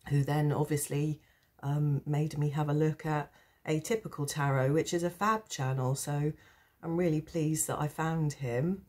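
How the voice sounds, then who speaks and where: A woman with a low, mellow voice roughened by a cold and sore throat. She chats casually as a vlog host, taking her time and pausing often. Her manner is reflective, hesitant and gently self-deprecating. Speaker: tarot channel host. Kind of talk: casual rambling chat to camera.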